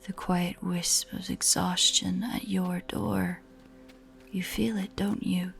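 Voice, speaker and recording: Woman acting as a predatory spider-woman, horror roleplay, lowish whispery voice, sinister playful taunting, music underneath. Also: little pitch movement, takes it slowly. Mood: taunting, hushed. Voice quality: breathy, whispery. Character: predatory female spider-woman monster, teasing, menacing, possessive. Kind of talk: horror audio roleplay, addressed to the listener.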